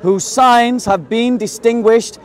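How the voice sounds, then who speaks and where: A man from England debating outdoors in a park, pressing his point with rapid, insistent questions. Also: very high voice, fairly even intonation. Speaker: street debater. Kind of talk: open-air debate.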